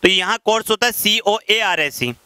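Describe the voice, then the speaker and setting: A male teacher in his thirties with a Rajasthani accent, whose high, crisp voice is picked up dry and close by a headset mic. He explains vocabulary patiently at the whiteboard and slows down whenever he reads out English example sentences. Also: animated, bright, forceful tone, no room sound. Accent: Rajasthani Hindi. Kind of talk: classroom lecture explaining English vocabulary in Hindi. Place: classroom in front of a whiteboard.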